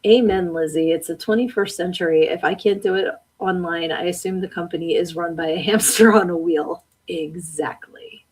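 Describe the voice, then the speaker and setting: Chatty husky-voiced woman. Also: on the low side, moderate pace, few pauses, a little mellow, low-quality recording. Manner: chatty, laughs often, talks back to the chat. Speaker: podcast host reading live comments. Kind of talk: casual live-stream chat.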